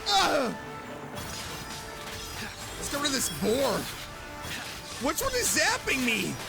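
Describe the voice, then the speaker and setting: A woman's voice: sits high, huge pitch swings, slow pace, creaky. A woman game streamer giving casual, playful, exclamatory commentary as she plays. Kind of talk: casual, reactive gameplay commentary. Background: game music.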